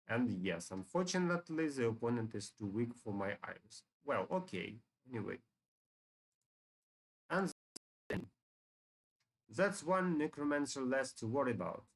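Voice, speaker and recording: A man talks through a video game in an informal, unhurried way. His pace is slow and pausing, while his pitch rises and falls a lot. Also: poor audio. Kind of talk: game walkthrough, reading story dialogue aloud.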